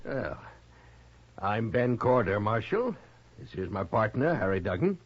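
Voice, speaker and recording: A man playing a slick, persuasive American gambler in an Old West radio drama, talking smoothly to win people over. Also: on the low side, very animated, a little slow, exaggerated voice. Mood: ingratiating. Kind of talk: 1950s radio western acting. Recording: muffled vintage radio recording.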